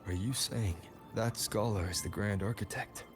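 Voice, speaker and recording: A man plays a grave young wanderer, the hero of a video game. His voice is low and his delivery measured and reflective, with soft music underneath. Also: close to an everyday voice. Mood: pondering. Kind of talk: scripted video game voice acting.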